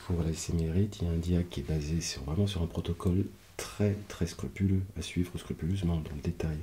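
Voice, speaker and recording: A man playing a fussy, pedantic doctor in an ASMR roleplay, explaining things in a hushed voice. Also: low voice, steady tone, fairly quick, slight background noise, close to an everyday voice. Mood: piqued, pedantic.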